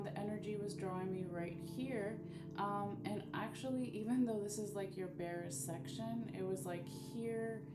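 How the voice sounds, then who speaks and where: A soft-voiced woman with a slightly low pitch talks gently and unhurriedly through a live-streamed divination reading, sprinkling in plenty of "um" and "okay." She sounds like she's in an echoey room, with loud guitar music playing behind her. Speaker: bones and charms reader.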